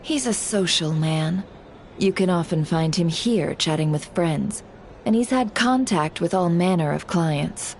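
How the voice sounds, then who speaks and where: Low-voiced, shrewd woman spymaster. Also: expressive, darkish tone, a little hiss or hum. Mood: shrewd, confident. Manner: composed, businesslike, measured. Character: cool, shrewd, secretive female intelligence broker. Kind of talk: video game dialogue acting. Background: music.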